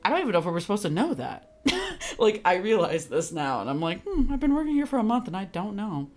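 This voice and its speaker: Casting: young adult livestreamer, mid-range androgynous voice, lively intonation, giggly, blunt and sweary. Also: medium pitch, fast, faint music behind. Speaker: game streamer. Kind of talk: casual livestream chat with viewers.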